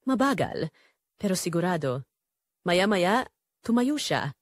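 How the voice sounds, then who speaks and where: A woman's voice: on the low side, sing-song, unhurried, dry recording, clean studio sound. A woman with a Filipino accent reading a scripted first-person story as the narrator of an audio drama.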